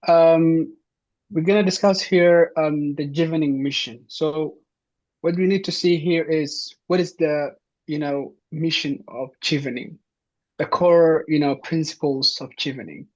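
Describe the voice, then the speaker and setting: Man, from Somalia, informational talk, hesitant, many fillers, slightly high voice, muffled lo-fi audio. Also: fairly even intonation, a little slow, a little mellow. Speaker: presenter. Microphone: video call. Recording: dull lo-fi online session.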